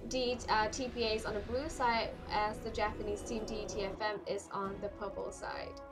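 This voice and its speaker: A woman doing solo live play-by-play of a game, hoarse and losing her voice, with quick calls that climb in the fights. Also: flat delivery, poor audio. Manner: rapid play-by-play that jumps higher in fights. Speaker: esports caster. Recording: clear music behind the voice.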